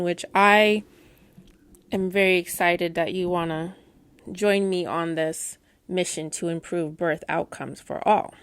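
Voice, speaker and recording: A middle-aged woman doula who stutters, giving candid, warm advice to new doulas in a casual talk with playful asides. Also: on the low side, smooth. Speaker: doula and course teacher.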